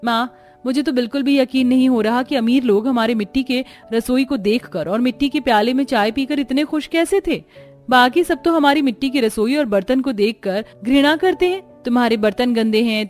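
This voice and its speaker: A gentle young village bride from a Hindi-style cartoon family drama, played by a woman narrator. Her voice is high, earnest and wide-eyed, with deference in every phrase. Music plays behind her. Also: close to an everyday voice.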